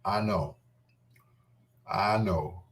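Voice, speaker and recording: Middle aged guy rambling about old memories, real slow and unhurried, a bit off the mic. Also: fairly low pitch, fairly bright tone, recorded from a distance. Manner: informal, candid, playful storytelling with self-quoting. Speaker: host. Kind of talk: casual rambling reminiscence.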